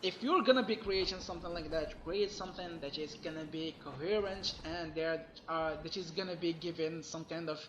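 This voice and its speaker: A man giving an emphatic, complaining gaming commentary while losing his voice, sounding hoarse. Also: fairly high pitch, normal speed, hardly any pauses, roomy sound, highs cut off, noisy background. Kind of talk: solo gaming rant.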